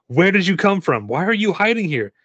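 A man voicing a nervous, gentle night worker who coaxes a child in a tabletop game. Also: high voice, sing-song, fairly quick, husky, no echo, lo-fi sound, voice pushed up, exaggerated voice. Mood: gentle, puzzled. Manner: thin-voiced, coaxing, concerned.

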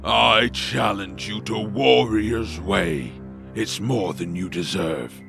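An actor playing a haughty, threatening orc warrior with a gravelly voice in an audio drama. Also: male voice, lowish voice, over music. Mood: menacing, scornful. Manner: pompous, threatening, deliberate. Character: menacing, haughty orc clan warrior issuing challenges.

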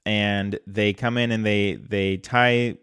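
A man's voice: lowish voice, steady tone, takes it slowly, fairly bright tone, clear, resonant tone, no echo, clean studio sound. A man co-hosting a podcast, chatting casually as he recaps an episode step by step. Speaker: podcast co-host.

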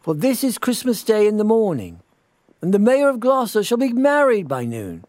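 Man acting a plaintive old English tailor, high-voiced. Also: very animated, a little mellow, no room sound. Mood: mournful despair. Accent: British, put on. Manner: lamenting, slow, plaintive. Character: poor, weary old male English tailor, mournful.

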